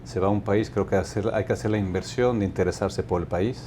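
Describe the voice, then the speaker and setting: A man in his fifties with a low, dark and mellow voice, answering as a guest in a measured, unhurried way and often restarting his sentences. A faint low rumble sits under the recording. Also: steady tone. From Mexico. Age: 50s. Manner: measured, thoughtful, with frequent restarts. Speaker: company director, guest. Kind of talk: interview answers.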